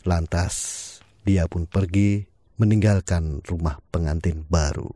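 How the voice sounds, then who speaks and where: A man narrating an audio drama in a calm, measured storytelling manner. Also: low-pitched.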